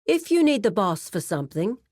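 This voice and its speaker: An actress playing a composed, businesslike crime cartel administrator in a video game, speaking in a formal, measured, authoritative way. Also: female voice, medium pitch, sing-song, a little mellow, dry recording, studio quality. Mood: firm, polite warning. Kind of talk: scripted video game character dialogue.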